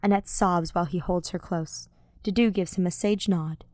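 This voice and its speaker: A woman's voice: fairly low pitch, steady tone, normal speed, darkish tone, no room sound, clean studio sound. A woman reading fiction aloud as a scripted audiobook narrator. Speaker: podfic narrator.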